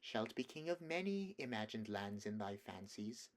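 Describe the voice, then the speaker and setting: A man voicing a soft-spoken, coaxing witch queen in a storybook reading, in a sweet, quiet, half-mocking way. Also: on the low side, big pitch swings, moderate pace. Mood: soothing. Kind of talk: audiobook character voice.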